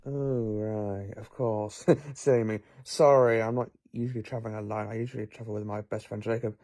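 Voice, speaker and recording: A man playing an eccentric, clever time-travelling professor in an audio drama, with a brisk, musing, take-charge manner. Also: fairly low pitch, steady tone, a little mellow, natural voice. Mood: brisk, absent-minded.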